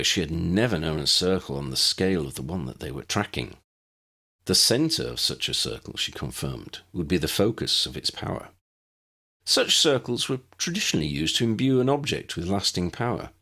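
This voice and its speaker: A man reading a scripted fantasy story as an audiobook narrator, in a measured, expressive storybook manner. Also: low voice, husky.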